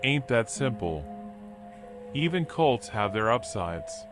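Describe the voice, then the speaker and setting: A washed-up drifter from a noir video game, speaking at a middling pitch with music underneath. He rambles in a folksy, resigned and wryly philosophical way. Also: very animated, takes it slowly. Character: washed-up male drifter animal, philosophical, wry, weary.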